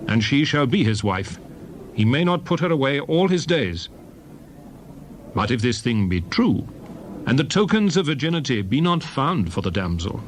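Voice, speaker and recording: A man giving a solemn, declarative, dramatized reading of King James scripture in measured phrases. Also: mid-range voice, slight background noise. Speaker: Bible reader.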